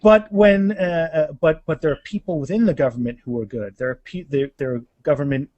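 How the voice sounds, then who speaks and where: Higher-voiced man in a casual interview. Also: fairly quick, a little mellow, no room sound, lo-fi sound. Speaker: interview guest, author.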